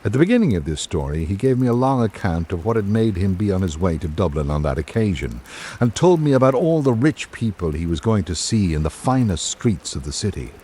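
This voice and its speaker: A man reading literary scripted narration in a measured, formal, reflective manner, as for a radio drama. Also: low voice, very animated, dark tone, a little hiss or hum.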